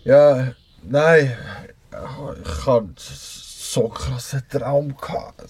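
A man's voice: medium pitch, steady tone, drawn-out words, darkish tone, breathy edge, heavily acted. An actor with a Swiss German accent playing a frightened, disoriented young man in an audio drama scene. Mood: confused.

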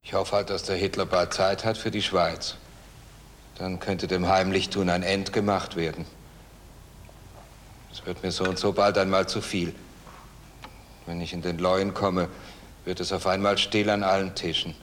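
A man's voice: low-pitched, little pitch movement, unhurried, pauses often, a bit bright, slight background noise. An actor playing an aggrieved, boastful, rustic village man in a grumbling drama dialogue. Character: aggrieved, boastful village man, Hitler's self-proclaimed half-brother.

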